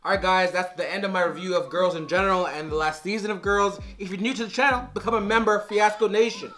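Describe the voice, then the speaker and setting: A hoarse, raspy man who is losing his voice talks straight to camera, reviewing a show in an animated, rambling vlog style. His tone is bright and forward even through the rasp. He keeps restarting his sentences, and faint music plays under him. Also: high voice, fast talker. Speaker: TV review vlogger. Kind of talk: casual opinionated review to camera. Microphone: on-camera shotgun mic.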